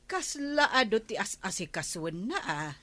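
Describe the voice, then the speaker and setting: An actress with an Ilocano accent playing an unhurried village woman in a radio comedy drama. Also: mid-range voice, big pitch swings, bright, forceful tone, no echo. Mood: grumbling.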